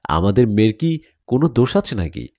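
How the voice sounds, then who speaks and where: A man's voice: lowish voice, big pitch swings, fairly quick, mellow, no echo. A man voicing a worried village mother, fretful and questioning, in a narrated story. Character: worried village mother fretting over her daughter.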